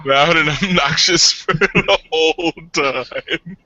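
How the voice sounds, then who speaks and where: A man's voice: on the high side, slow, pushed and bright, dry recording. A man casually narrating his character's actions in a tabletop roleplay, terse and amused, on a slightly dull close mic. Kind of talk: casual improvised game narration.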